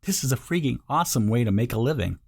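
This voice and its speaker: A man voicing a breezy young woman customer giving a casual, excited testimonial. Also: mid-range voice, quick pace, vocal fry, slightly rough. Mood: pleased, amazed. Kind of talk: acted testimonial read.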